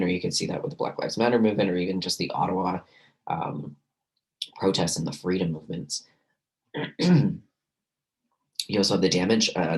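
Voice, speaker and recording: A man lecturing on a recorded class, hoarse and losing his voice, talking loosely with many fillers and restarts. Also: low-pitched, low-quality recording. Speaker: university lecturer.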